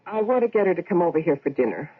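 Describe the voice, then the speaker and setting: A woman's voice: on the low side, big pitch swings, racing. An actress playing an insistent, emotionally taut married woman worried about her widowed mother in a radio drama. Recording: muffled old radio broadcast recording.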